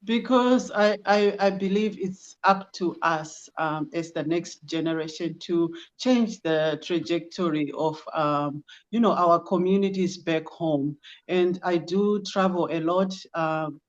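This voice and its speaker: A middle-aged woman with a Zimbabwean accent, a guest answering interview questions in a measured way with many ums. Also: lowish voice, lo-fi sound. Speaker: guest, project founder.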